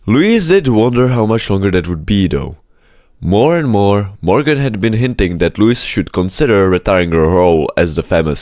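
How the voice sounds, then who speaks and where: Deep-voiced man reading a storybook. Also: no room sound, studio quality. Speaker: audiobook-style story reader. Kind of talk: scripted storybook narration.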